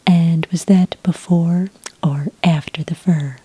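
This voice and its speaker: A woman speaking in a whispery, breathy soft voice, calm and methodical, as an agent in an ASMR roleplay, close to the microphone. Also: on the low side. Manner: calm, methodical questioning with gentle pauses. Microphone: close microphone in a dry room.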